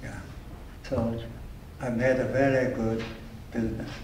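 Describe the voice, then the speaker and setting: An older man with a heavy Chinese accent, speaking in halting, broken English as an award honoree, repeating words as he goes. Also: mid-range voice, little pitch movement, darkish tone. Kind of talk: speech with audience Q&A. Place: event hall. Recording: echoey room from far off, faint noise.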